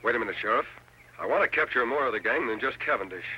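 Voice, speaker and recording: An American actor playing a steady, commanding masked cowboy hero in an old-time radio western. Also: male voice, mid-range voice, fast talker, husky, heavily acted, poor audio. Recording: old radio broadcast with music behind.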